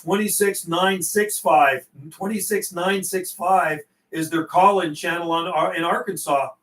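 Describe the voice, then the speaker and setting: A man's voice: high voice, steady tone, measured pace, fairly bright tone, roomy sound, far from the mic, low-quality recording. A middle-aged man chatting casually over CB radio on a livestream, folksy and given to repeating himself. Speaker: CB radio operator and livestream host.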